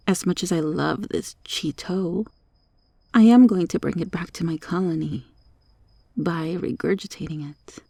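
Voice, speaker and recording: Slow, creaky monster roach girl, acted by a woman. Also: lowish voice, warm tone, no echo, studio quality, exaggerated voice. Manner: slow, coy, prim formal phrasing. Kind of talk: ASMR roleplay.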